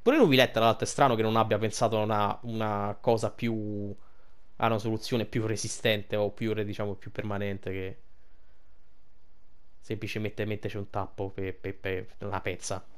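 A man's voice: lowish voice, flat delivery, moderate pace. A man giving casual, colloquial game commentary, rambling and thinking aloud. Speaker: gameplay video creator. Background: game music.

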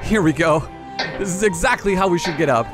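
A man playing an excitable, naive young adventurer, stammering and talking to himself as he goes through an amateur adventure story. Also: high voice, fairly quick, music in the background, natural voice. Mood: curious, wary. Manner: talks to himself, stammering, breathlessly reactive.

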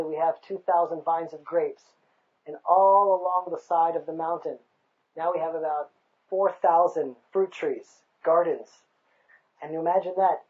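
A man's voice: on the high side, warm tone, muffled recording. A man in his thirties from the United States, speaking passionately in an outdoor interview on a hilltop overlook, recorded with a lapel mic. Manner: passionate, emphatic, rhetorical.

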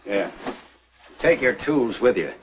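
A gruff middle-aged frontier sheriff with a sly, taunting drawl. His pitch swings widely as he talks. The sound is dull, old TV audio with a faint hiss. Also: animated, takes it slowly.

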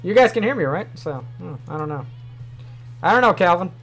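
Young streamer, New York accent, raised voice, loose rambling chat with swearing, soft music underneath. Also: male voice, high voice, lively intonation, brisk, gravelly. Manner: rambling, swears casually, laughs often. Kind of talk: casual livestream chat. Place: small home room.

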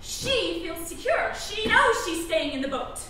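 A woman's voice: extremely high pitch, sing-song, unhurried, heavily acted. An actress playing an intense wife in a drama, goading her husband with insistent, theatrical, melodramatic urgency. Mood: insistent, pressing. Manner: insistent, melodramatic, swooping into shouts. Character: intense, goading wife pushing her husband through a cruel imaginary game. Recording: roomy, distant, faint noise.

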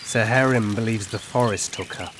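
An actor voicing an old elf forest scout in a video game, grave and weary, speaking in measured, formal phrases. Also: male voice, on the low side, fairly bright tone, lightly acted. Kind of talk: video game dialogue acting. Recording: clear ambient noise behind.

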